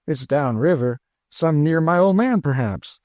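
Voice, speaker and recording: A man doing an excitable older cook woman. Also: on the high side, a little slow, no room sound, clean studio sound, voice pushed up. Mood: eager. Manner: exclaiming, folksy, eager. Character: warm, chatty older enslaved cook woman.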